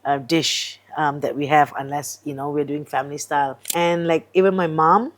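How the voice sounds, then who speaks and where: A middle-aged woman from Singapore, a chef chatting as a guest in an interview, animated and full of fillers. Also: deep voice, normal speed, a little hiss or hum. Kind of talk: casual interview chat.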